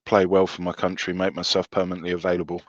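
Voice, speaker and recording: Deadpan male podcast panellist with a low voice and slightly dull sound, self-mocking in casual football banter. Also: fast, dry recording.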